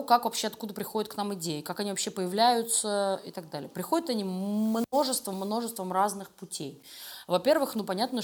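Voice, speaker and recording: A woman speaking as a studio creative director being interviewed, explaining things at length in an informal, expressive way. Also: mid-range voice. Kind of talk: casual interview talk.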